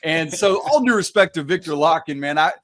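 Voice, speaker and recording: A man on a sports podcast who argues emphatically and rambles through his points. His voice sits a little higher than usual, and he talks in a loose, casual way. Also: expressive, brisk. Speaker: podcast co-host. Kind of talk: casual sports debate chat.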